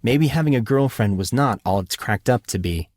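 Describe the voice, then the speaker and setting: A man's voice: medium pitch, lively intonation, normal speed, a little mellow, no echo, studio quality, lightly acted. A man voicing a puzzled teenage boy in an audiobook, wondering aloud informally. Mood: baffled.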